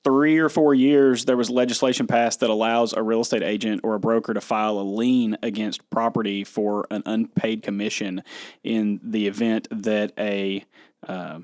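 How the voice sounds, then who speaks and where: A man's voice: fairly low pitch, darkish tone. An American man talking through legal points in a conversational podcast discussion.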